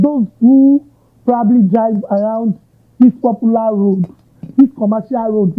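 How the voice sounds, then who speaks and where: A Nigerian man hosting an interview, asking long, winding questions with Yoruba asides. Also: very high voice. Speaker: interviewer, host. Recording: tinny narrow-band audio.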